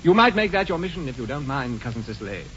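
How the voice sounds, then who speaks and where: Flippant, animated English dandy. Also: male voice, mid-range voice, quick pace. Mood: playful. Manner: airy, breezily charming. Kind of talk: 1940s radio comedy acting. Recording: dull, noisy 1940s radio broadcast.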